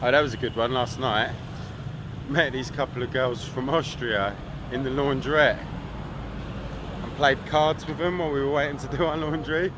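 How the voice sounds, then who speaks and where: A man rambles through a casual travel vlog, tossing in asides and swear words in an ordinary mid-range voice. He talks outdoors on a city street, with clear traffic noise running behind him. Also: medium pitch, steady tone, moderate pace. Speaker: travel vlogger.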